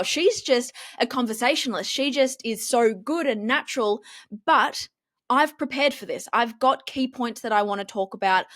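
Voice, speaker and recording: Woman, guest on a podcast, reporter-style voice, expressive, fairly high pitched. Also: no echo, clean studio sound. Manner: animated, expressive, strong reporter delivery. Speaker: guest, TV journalist and confidence coach. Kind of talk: casual interview talk.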